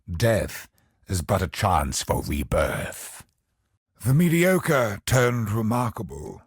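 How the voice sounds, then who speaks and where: An actor voicing a brooding, grandiose godlike villain in a video game, husky and gravelly, with an ominous, deliberate delivery. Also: male voice, fairly low pitch, big pitch swings, dry recording, studio quality, heavily acted. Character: godlike male cosmic villain, brooding, grandiose, obsessed with perfection. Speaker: video game boss character.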